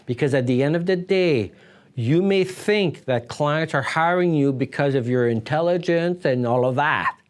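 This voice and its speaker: Man, somewhat high-pitched, accountant host, instructional delivery, deliberate and emphatic. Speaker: accountant giving business advice as video host. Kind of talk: instructional advice talk to camera.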